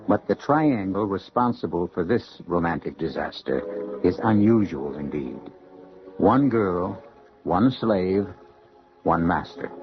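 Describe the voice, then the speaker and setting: A man hosting a radio drama with scripted, measured, ominous and rhetorical narration. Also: low voice, vocal fry. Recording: dull vintage radio broadcast. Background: faint music.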